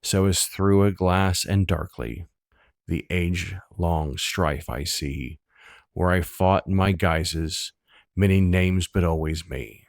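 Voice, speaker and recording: Very deep, gravelly man reciting poetry. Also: slow, no room sound, clean studio sound. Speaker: poetry reader.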